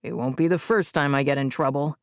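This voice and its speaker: A woman's voice: very deep voice, a little fast, no echo, studio quality, voice pushed down. A woman voicing a cocky older boy who sneers and shrugs things off. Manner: sneering, dismissive.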